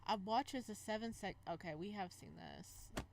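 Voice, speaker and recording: A woman lets-player reads a visual novel aloud in an expressive way. She drops into casual, reactive commentary between passages and now and then stumbles over the words. Her pitch sits in a middle range for a woman. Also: moderate pace, creaky, background noise. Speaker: game streamer, let's player.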